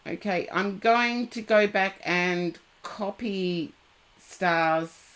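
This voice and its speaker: A woman with a head cold, giving tarot readings and gentle advice to viewers on a live stream. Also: mid-range voice. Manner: slow, unhurried, gently advising viewers by name.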